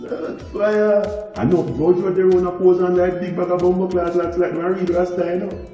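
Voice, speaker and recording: A man's voice: high voice, flat delivery, normal speed, dark tone, dull audio, natural voice. An actor playing a cheeky, streetwise, hot-tempered Jamaican man in a radio drama, bantering colloquially in Patois. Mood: mocking. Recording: echoey room with music behind.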